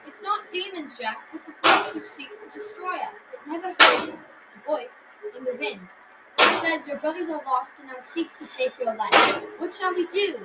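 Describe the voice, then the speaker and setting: A woman's voice: sits high, animated, normal speed, distant mic, very noisy. A girl of about twelve or thirteen playing a wise, loyal talking pony in a school radio drama, reading earnestly and solemnly. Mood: urgent, loyal. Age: child, around 12-13.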